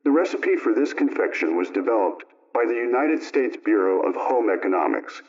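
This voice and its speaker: Animated low-voiced male radio announcer, muffled. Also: normal speed. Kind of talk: scripted programme introduction. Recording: dull, muffled radio-style recording.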